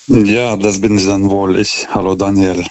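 A forty-year-old man calling a radio phone-in, speaking non-native German with an accent, haltingly, stammering over the starts of words. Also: deep voice, little pitch movement, darkish tone. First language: not German. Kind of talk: unscripted, reflective call-in talk. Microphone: telephone. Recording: dull, band-limited phone call.

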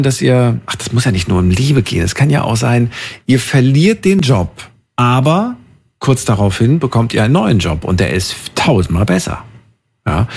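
A male radio host with a slightly husky voice that swings up and down a lot. He talks in a chatty, brisk way and keeps the show moving. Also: medium pitch. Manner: chatty and lively, quick to move on. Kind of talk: call-in radio hosting.

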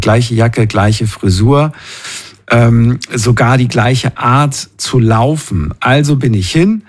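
A man hosting a call-in radio show, chatting informally with listeners and reading out their messages. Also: mid-range voice. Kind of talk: casual chat, reading listener messages aloud.

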